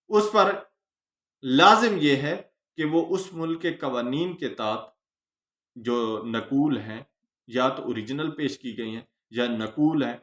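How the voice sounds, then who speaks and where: Male lecturer, Pakistani accent. Measured, slow, emphatic question-and-answer teaching, recorded in an echoing room. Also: medium pitch. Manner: didactic, emphatic stress on phrases, mixes in English legal terms. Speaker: law lecturer. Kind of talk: instructional lecture, posing questions then answering them.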